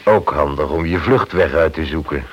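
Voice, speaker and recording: An actor playing a gruff, commanding, sceptical police inspector in a radio drama, curt and dry. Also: male voice, a bass voice, moderate pace. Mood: probing, sceptical. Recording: dull old radio play recording.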